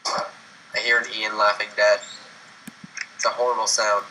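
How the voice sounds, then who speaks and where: A man's voice: on the low side, little pitch movement, unhurried, sharp tone, a little hiss or hum, rough recording. A teenage male gamer chatting casually while playing, stammering and joking. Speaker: game player. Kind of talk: casual gaming chat.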